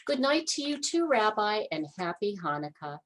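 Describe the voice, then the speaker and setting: A woman's voice: medium pitch, very animated, normal speed, pitched above the performer's own voice, natural voice. A woman voicing a kind, fussy old grandmother who talks in a sing-song, chatty way in a children's story. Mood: fussing.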